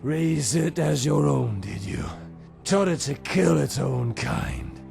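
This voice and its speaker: An actor playing a cruel, scornful vampire lord in a video game cutscene, speaking with a slow, sneering menace. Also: male voice, mid-range voice, big pitch swings, gravelly, heavily acted. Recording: game cutscene with loud music.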